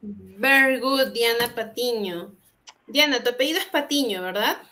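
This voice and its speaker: A young woman teaching online, patient and unhurried, her voice bright and a little high. She moves between Spanish and English as she talks through each exercise, on a laptop mic from a small room at home. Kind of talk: online class explaining exercises. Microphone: laptop webcam on a video call.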